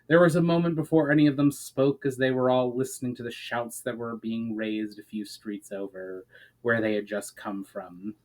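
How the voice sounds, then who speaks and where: A man's voice: mid-range voice, a little mellow, rough recording. A man reading his own fantasy story aloud as a dramatic narration.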